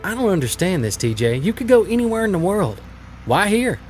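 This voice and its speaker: Suspicious kid brother, adult male, audio drama: exasperated, rapid, lively intonation. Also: high-pitched, faint music behind.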